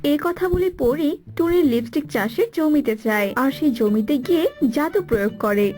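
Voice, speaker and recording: A woman's voice: high voice, expressive, moderate pace, mellow, faint music behind. A woman reading scripted narration for a cartoon story.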